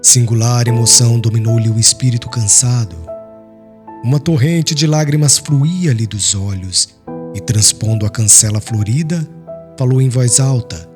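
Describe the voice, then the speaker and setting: A Brazilian man narrates an audiobook in a solemn literary style. His voice sits at a medium pitch, with faint music underneath. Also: normal speed. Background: soft music.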